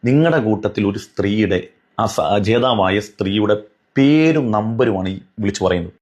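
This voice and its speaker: A man's voice: on the low side, animated, normal speed, darkish tone, highs cut off. A man giving an admonishing religious commentary, earnest and pleading, driving points home with repetition and rhetorical questions.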